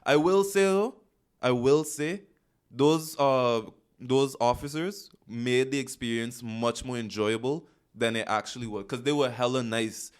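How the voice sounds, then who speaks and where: Young west indian guy, podcast co-host, smooth clear voice, laid-back storytelling with slang. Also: mid-range voice, a little slow. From Caribbean. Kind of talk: casual chat.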